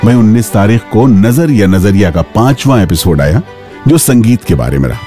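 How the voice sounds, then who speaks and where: A man's voice: lowish voice, animated, normal speed, warm tone, faint music behind. A man presenting a radio show in a scripted style.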